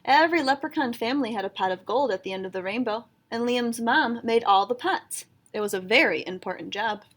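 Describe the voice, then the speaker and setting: High-voiced woman reading a storybook. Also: lively intonation, fairly quick, a bit bright. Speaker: read-aloud storyteller. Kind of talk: expressive children's picture book read-aloud.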